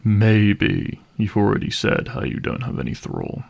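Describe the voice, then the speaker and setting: A man playing a gruff, cynical vampire hunter for hire in a dramatic audio roleplay, speaking in a wry, sardonic and menacing way. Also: fairly low pitch, big pitch swings, unhurried, a little mellow, exaggerated voice. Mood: guarded, bargaining. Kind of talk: dramatic audio roleplay monologue addressed to listener.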